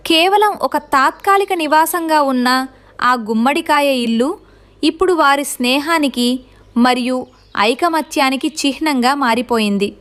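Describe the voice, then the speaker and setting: A woman's voice: sits high, measured pace, a bit bright. A woman reading a scripted children's story as narrator.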